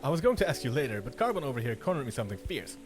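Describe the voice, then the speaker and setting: A man's voice: mid-range voice, big pitch swings, very fast, lightly acted. A man voicing an eager, chaotic, friendly young online show host in a fan-dubbed visual novel, joking and enthusiastic. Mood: sheepish. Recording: voice under loud background music.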